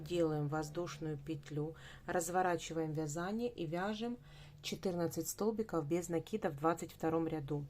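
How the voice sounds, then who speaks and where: A woman with a low-pitched voice leads a craft tutorial. She explains things step by step, in a methodical manner suited to teaching. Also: dark tone, a little hiss or hum. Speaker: crochet tutorial host.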